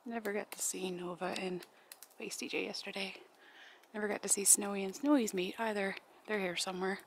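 A woman's voice: medium pitch, steady tone, a little fast, gravelly. A woman talking casually and affectionately to wild birds while she films in the woods. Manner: affectionate pet-talk. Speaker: birdwatching vlogger. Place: outdoors in snowy woods. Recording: outdoor camera audio with background noise.

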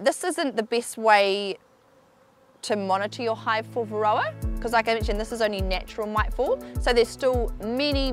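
A young woman giving a clear, explanatory beekeeping demonstration outdoors beside hives on a lapel mic. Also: on the high side. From New Zealand. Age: young adult. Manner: clear explanatory, pointing things out. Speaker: beekeeping researcher. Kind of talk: instructional demonstration.

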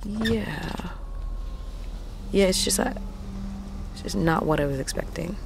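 A woman's voice: fairly low pitch, slow pace, vocal fry. Lady truck driver in an audio drama, casual and wry, slight vehicle hum. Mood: uneasy, hesitant. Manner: casual, wry, hesitant in spots. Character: wry, self-assured woman trucker and mother. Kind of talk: naturalistic thriller audio drama. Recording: faint vehicle cab noise.

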